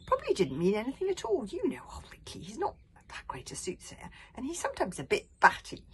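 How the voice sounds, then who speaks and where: A woman's voice: fairly high pitch, huge pitch swings, a little fast, fairly bright tone, slightly rough, voice pushed up, full character voice. Woman doing a fretful young Viking boy. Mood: cheerful. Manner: exasperated, insistent.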